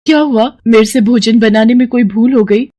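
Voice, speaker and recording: A woman's voice: fairly high pitch, moderate pace, warm tone, lo-fi sound, natural voice. A woman voicing a humble, devout young village wife in a moral-story cartoon, speaking earnestly and respectfully. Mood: anxious, pleading.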